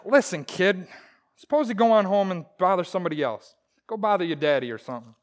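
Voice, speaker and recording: A woman's voice: lowish voice, expressive, quick pace, lightly acted. A woman playing a boastful, blustery pack-rat husband in a folksy, exclamatory old-time radio comedy style. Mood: exasperated.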